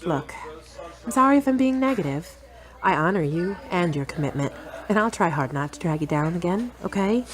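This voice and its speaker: An actress playing a self-assured, frank, teasing girlfriend in a radio drama, articulate and measured. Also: on the low side, lively intonation, close to an everyday voice. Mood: frank, serious. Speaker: actor in an audio drama. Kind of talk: scripted radio-play dialogue.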